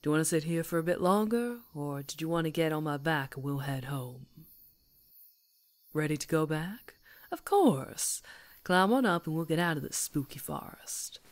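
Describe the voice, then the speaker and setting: A woman playing a female werewolf in an intimate ASMR roleplay, predatory yet tender, coaxing in a breathy voice that often drops to whispers. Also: low voice, lively intonation, moderate pace. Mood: affectionate, playful.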